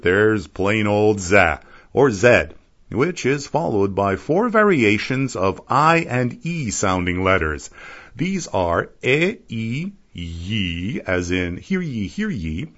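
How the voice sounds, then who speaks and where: A man's voice: on the low side, fairly bright tone. A man from Canada giving a wry, playful scripted radio narration. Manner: wry, playful, slow and deliberate. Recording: dull lo-fi radio broadcast.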